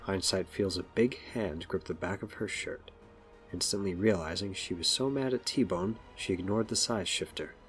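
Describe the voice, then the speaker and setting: A man's voice: low voice, normal speed, fairly bright tone, soft background music. A man narrating a scripted action story as the narrator of an audio drama.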